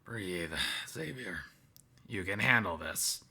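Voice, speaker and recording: A man voicing a tense, determined male bat archer, quietly narrating his thoughts in a visual novel. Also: low voice, expressive, takes it slowly, vocal fry, breathy edge, exaggerated voice. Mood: anxious, determined.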